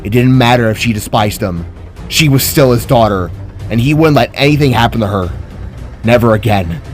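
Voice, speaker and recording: A man's voice: mid-range voice, lively intonation, moderate pace, soft background music. A man reading a scripted fantasy story as a dramatic narrator.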